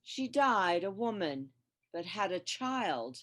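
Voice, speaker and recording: A woman playing a solemn, measured ghostly spirit who guides and questions in a radio play. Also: mid-range voice, very animated, fairly bright tone, exaggerated voice.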